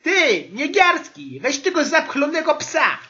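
A woman's voice: sits high, big pitch swings, takes it slowly, pushed and bright, dull audio, full character voice. A woman playing an exasperated female English teacher in an amateur comedy audio sketch, whining and grumbling as she scolds her class. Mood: irritated.

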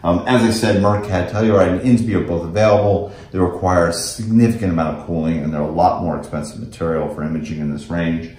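Male technical presenter, American, middle-aged, very low voice, recorded with plenty of room echo. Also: fast talker, hardly any pauses, a little mellow. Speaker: company representative presenting technical products. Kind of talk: technical product demo and explanation. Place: indoors in a small office meeting room. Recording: echoey room.

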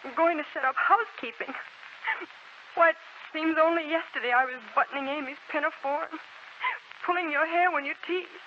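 A woman's voice: sits high, steady tone, a little fast, natural voice. An actress playing a spirited, outspoken young woman with earnest, emphatic 1940s radio delivery. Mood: happy, nostalgic. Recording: muffled, noisy 1940s radio recording.

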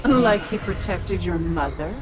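A woman's voice: on the low side, lively intonation, unhurried, over music, poor audio. A woman voicing an ancient, wicked demon witch in a video game, taunting and haughty. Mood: wicked, scornful. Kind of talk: video game villain acting.

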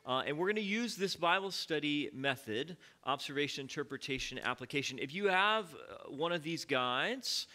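A man leading a Bible study in church, teaching in a relaxed, conversational way with many fillers and questions to the congregation. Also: fairly high pitch, normal speed, few pauses. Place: church sanctuary.

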